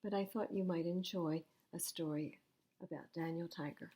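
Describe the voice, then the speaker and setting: An older woman reading picture books aloud as a library storytime host, in an expressive, animated manner. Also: on the low side, normal speed. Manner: animated, acting out dialogue.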